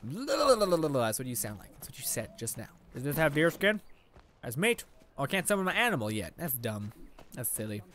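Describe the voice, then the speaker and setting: An eighteen-year-old man giving rambling, casual let's play commentary, his voice a little raspy after eating. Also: medium pitch, big pitch swings, moderate pace, fairly bright tone. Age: teens. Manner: rambling, chatty, lots of fillers. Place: indoor room.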